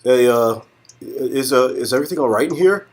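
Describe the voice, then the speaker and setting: A man's voice: medium pitch, expressive, moderate pace, natural voice. A man reading the part of a laid-back, teasing young neighbor in a story game, casual and hesitant. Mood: sheepish, easygoing.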